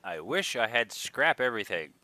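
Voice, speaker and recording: A man giving casual, rambling let's play commentary, thinking aloud at an unhurried pace, slightly nasal with a mild sniffle. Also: medium pitch, sing-song, pushed and bright. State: mild sniffle from a recent cold. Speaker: gaming video creator, player.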